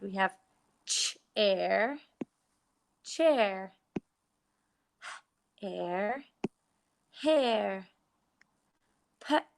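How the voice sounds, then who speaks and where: An Irish woman teacher giving a phonics lesson to young children, sounding out letters slowly and clearly. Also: medium pitch. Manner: slow, exaggerated sounding out of letters.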